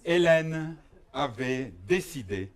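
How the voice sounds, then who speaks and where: A man from Belgium performing a comic stage monologue in a mock-serious, deliberate way, with pauses for effect. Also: on the high side, animated. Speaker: stand-up performer.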